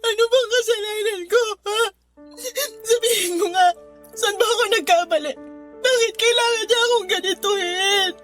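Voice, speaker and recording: A woman's voice: very high voice, normal speed. An actress playing an anguished, self-doubting betrayed wife and mother in a radio drama, tearful and pleading as she cries. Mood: confused, pleading. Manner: tearful, pleading, repeats herself. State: crying. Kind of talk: Tagalog radio drama acting. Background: background music.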